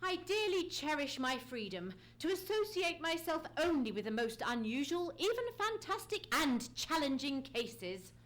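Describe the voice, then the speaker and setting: A woman's voice: high voice, very animated, normal speed, hardly any pauses, fairly bright tone. A woman playing a poised, arch female detective in an old-time radio drama, formal, precise and wry. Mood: earnest, lofty.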